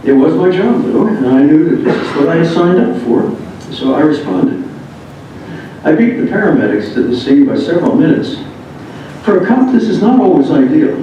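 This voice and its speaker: A man reads a written first-person memoir aloud, halting at times. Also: sing-song. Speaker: reader of a memoir. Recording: muffled, very echoey room, distant mic, rumbling noise.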